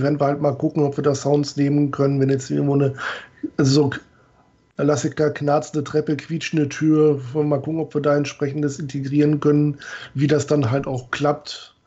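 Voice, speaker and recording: A man's voice: on the high side, monotone, moderate pace, warm tone, husky. A man in his thirties chatting casually as a panel member on a group video call from a small room. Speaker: project co-writer, panel member. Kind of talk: casual livestream chat. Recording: slightly dull, lo-fi call audio.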